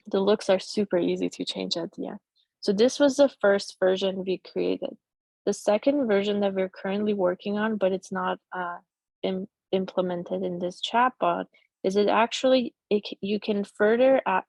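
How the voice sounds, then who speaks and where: A middle-aged woman whose first language is Persian, casually walking through a software demo on a video call with many fillers and restarts. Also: mid-range voice, vocal fry, dry recording. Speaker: presenter demoing a chatbot tool.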